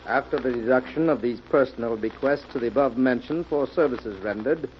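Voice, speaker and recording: Man acting polite formal lawyer guy, medium pitch, old radio drama, muffled n noisy. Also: a little fast, faint music behind, natural voice. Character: courteous, formal male family lawyer. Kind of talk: 1940s radio mystery acting.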